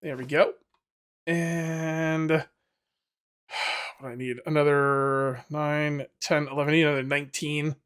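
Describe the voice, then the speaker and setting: Hoarse, rambling gameplay commentator man. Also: on the high side, flat delivery, unhurried, long pauses, fairly bright tone. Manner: rambling, self-correcting, talks to viewers. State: losing his voice. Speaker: gaming video host. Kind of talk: casual let's-play commentary.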